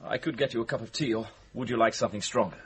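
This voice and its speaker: Quick, deferential male club employee played by an actor. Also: medium pitch, a little hiss or hum, natural voice, rough recording. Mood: solicitous. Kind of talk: radio drama acting.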